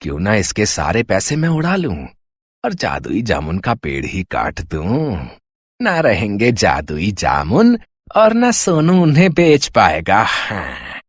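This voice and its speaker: Jealous storybook villain, acted by a man: low, slow, gloating, wildly swinging intonation. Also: huge pitch swings, dry recording, clean studio sound, heavily acted. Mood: scheming, gloating. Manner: slow, gloating, drawn-out plotting. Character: jealous greedy scheming village neighbour, a man.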